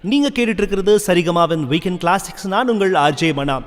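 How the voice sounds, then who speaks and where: A man's voice: high voice, lively intonation, quick pace. A man hosting a radio show, speaking fluently and conversationally with English words mixed in. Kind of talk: radio presenting between classic songs. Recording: long reverb on the voice.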